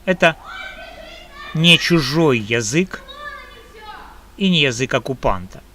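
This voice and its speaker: Slow, deliberate Ukrainian man. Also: mid-range voice, lively intonation, bright, forceful tone, a little hiss or hum. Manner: slow, deliberate and emphatic, in measured phrases. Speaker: video blogger. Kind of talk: personal opinion monologue.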